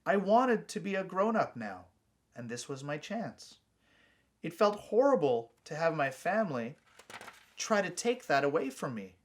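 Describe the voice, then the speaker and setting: Higher-pitched man narrating a short story. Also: very animated, measured pace. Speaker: author reading his own short story. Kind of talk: scripted first-person story narration.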